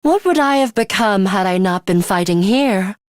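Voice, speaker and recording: A woman's voice: mid-range voice, expressive, moderate pace, dry recording, clean studio sound, lightly acted. A woman playing a serious, coolly arrogant schoolgirl fighter with a bloodthirsty streak in a video game, speaking in a formal, precise, faintly sinister way. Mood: composed, aloof. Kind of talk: English video game voice acting, measured monologue.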